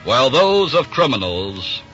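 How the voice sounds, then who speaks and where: A man's voice: mid-range voice, very animated, a little slow, fairly bright tone. An American man giving formal, solemn scripted narration for a 1940s radio crime drama. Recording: dull, band-limited 1940s radio broadcast. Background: music.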